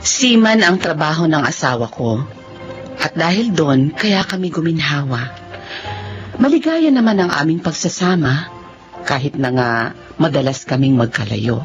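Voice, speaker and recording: A woman's voice: low voice, very animated, measured pace, pauses often, highs cut off, over music, exaggerated voice. An actress with a Filipino accent playing a devoted, sentimental wife and mother in a tender, emotional radio drama style. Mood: wistful.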